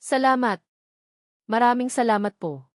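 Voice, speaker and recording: A woman voicing an anxious, gentle young woman with a Filipino accent and a hushed, polite manner, in an audio story. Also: a little high, unhurried, no echo, studio quality, pitched above the performer's own voice, natural voice. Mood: relieved, grateful.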